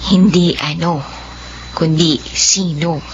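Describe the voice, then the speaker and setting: An actress playing a gentle young woman who speaks softly and hesitantly. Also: low-pitched, fairly even intonation, takes it slowly. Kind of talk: radio drama acting. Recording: dull lo-fi sound with faint noise.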